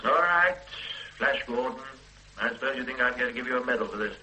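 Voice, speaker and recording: An actor playing a sarcastic, authoritative police superintendent in a radio drama. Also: male voice, medium pitch, expressive, fast, sharp tone, slight background noise, rough recording. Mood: sardonic. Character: stern sardonic male police superintendent. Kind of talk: 1970s radio drama acting.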